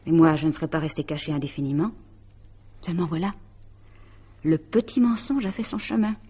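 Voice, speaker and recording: An actress playing a calculating, possessive middle-aged woman in a radio mystery drama, speaking in a taunting, deliberate, self-satisfied way. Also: low voice, animated, dark tone, slightly rough. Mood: smugly triumphant.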